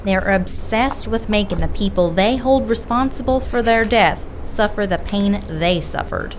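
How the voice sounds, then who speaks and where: Stern female occult detective, played by a woman, firm and lecturing, medium pitch, noisy recording. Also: measured pace, lightly acted. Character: stern female supernatural-lore expert detective. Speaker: occult expert investigator. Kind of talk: audio drama acting.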